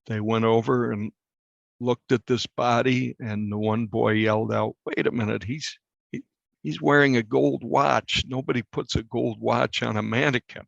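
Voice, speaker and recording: A man working as a reporter, telling a story conversationally in an interview in a measured, explanatory way. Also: medium pitch, fairly even intonation, gravelly, no echo, highs cut off. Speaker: newspaper reporter being interviewed.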